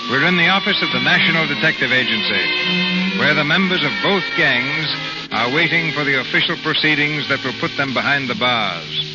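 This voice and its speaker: A man's voice: fairly high pitch, animated, normal speed. An American man announcing and narrating from a script in 1930s radio style. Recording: muffled old radio recording, loud music.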